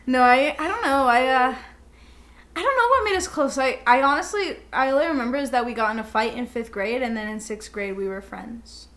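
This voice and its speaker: A young woman with a very bright, fairly high voice speaks as a podcast co-host. Her delivery is informal and halting, circling through her thoughts in a self-deprecating, conversational way. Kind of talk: casual conversational chat.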